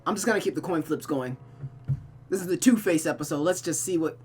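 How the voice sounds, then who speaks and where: A woman's voice: sits low, fairly quick. A woman reading a visual novel aloud as host, narrating the story with casual asides. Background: music.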